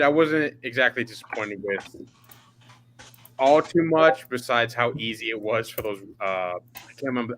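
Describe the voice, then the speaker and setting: A man with a bright, forward tone over a lowish pitch speaks as a podcast guest. He gives relaxed, rambling opinions, now and then laughing a little. Faint noise hums behind him. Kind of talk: casual chat.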